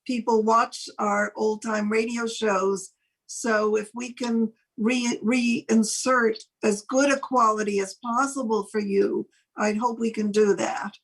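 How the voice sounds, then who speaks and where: A woman's voice: a little high, little pitch movement, takes it slowly, husky, poor audio. An older woman speaking casually as the host of a talk show, interviewing a guest.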